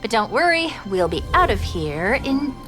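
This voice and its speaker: A woman's voice: a little high, sing-song, normal speed, over loud music. An actress playing a young, confident female AI companion in a video game, quippy and wryly teasing. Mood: playful, wry. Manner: wry, bubbly teasing. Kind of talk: video game cutscene acting.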